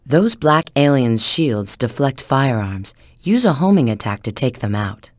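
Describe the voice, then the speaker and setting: A woman's voice: very deep voice, animated, measured pace, darkish tone, dry recording, studio quality, heavily acted. An actress playing a sly, confident female spy in a video game, giving scripted hints in a cool, assured, instructive way.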